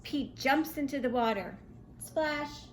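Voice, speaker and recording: A woman reading a picture book aloud to young children in an expressive, questioning way. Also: sits high, slow, a little hiss or hum. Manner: expressive, asks the listener questions. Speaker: children's storybook reader.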